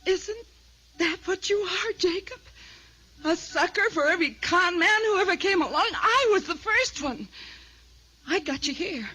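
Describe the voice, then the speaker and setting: A desperate, bitter woman in vintage radio drama. Her voice sits high and has a bright, sharp edge. She pleads with open emotion, torn between love and money. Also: expressive, unhurried, exaggerated voice. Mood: bitter, pleading. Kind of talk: 1950s radio drama acting.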